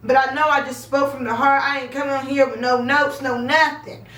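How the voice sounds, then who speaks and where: A young woman talking bluntly in a casual vlog, swearing freely and projecting a loud voice. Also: high-pitched, flat delivery, fairly quick. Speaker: vlogger. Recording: echoey room, voice a bit far from mic.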